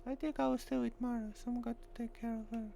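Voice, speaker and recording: A man acting a wary young woman, raised pitch, irritable, questioning, visual novel heroine, faint hum. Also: flat delivery, quick pace, gravelly, mellow. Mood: reluctant.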